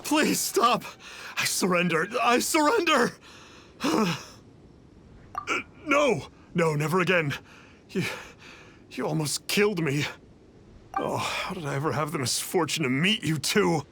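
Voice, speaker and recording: A man's voice: high voice, lively intonation, slow pace, vocal fry, long pauses, sharp tone, husky, heavily acted. A man playing a pompous, cowardly fraud in a video game, speaking in a theatrical, self-important way. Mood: pleading, terrified. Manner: pompous, theatrical, archaic self-reference as 'one'. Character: pompous, cowardly male charlatan posing as immortal.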